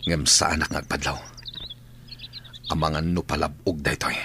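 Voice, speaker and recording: An actor playing a sceptical, wary outlaw in a radio drama. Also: male voice, a bass voice, flat delivery, normal speed, noisy background. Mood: wary, scornful.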